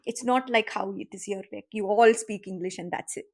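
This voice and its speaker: A woman's voice: mid-range voice, fairly even intonation, fairly quick, a little mellow. A middle-aged woman with an Indian accent, co-hosting a podcast and talking earnestly and reflectively with her daughter. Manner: reflective, earnest, laughs easily. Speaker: podcast co-host, mother. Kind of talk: casual conversation.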